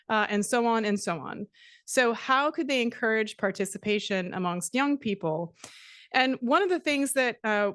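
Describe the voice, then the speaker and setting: Middle-aged woman from canada doing a conference talk, clear resonant voice, lots of ums. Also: on the high side, a bit bright, no room sound, clean studio sound. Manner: measured, with frequent "uh" and "um" fillers. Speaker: conference speaker. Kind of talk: academic presentation.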